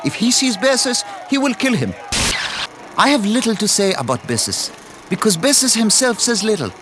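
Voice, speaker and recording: A man's voice: high-pitched, very animated, moderate pace. An actor playing a proud, fatalistic male mountain fighter, telling his family's history in broken English over noisy game audio. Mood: solemn. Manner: solemn, broken English storytelling.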